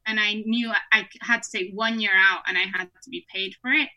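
A woman's voice: medium pitch, steady tone, a little fast, sharp tone, dull audio. A woman in her twenties from Peru, a guest on an online podcast, telling her story in a friendly way and laughing often. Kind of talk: casual interview storytelling. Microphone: remote online recording.

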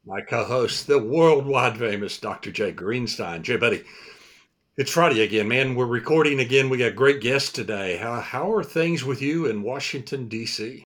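A man's voice: mid-range voice, expressive, normal speed, a bit bright. A man hosting a tech podcast, friendly and loose in his talk. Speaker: podcast host. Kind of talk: casual podcast chat.

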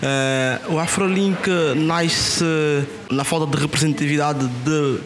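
A man interviewing a guest, asking questions in a low-key, slow way. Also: steady tone, a bit bright, slight background noise. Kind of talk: casual interview conversation.

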